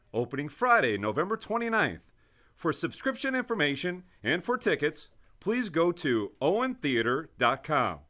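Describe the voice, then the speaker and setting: A man reads out a theater advertisement in a bright, forceful announcer voice. His delivery is animated and punchy, rising and falling a lot. It sits somewhat high for a man.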